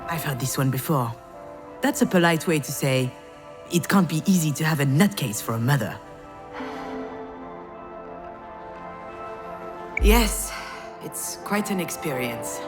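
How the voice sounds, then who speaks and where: A woman's voice: sits low, lively intonation, normal speed, music in the background. A woman playing a troubled, sardonic screenwriter in a visual novel game, with a hesitant, wry and emotionally strained delivery. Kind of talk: naturalistic visual novel voice acting, introspective narration and dialogue.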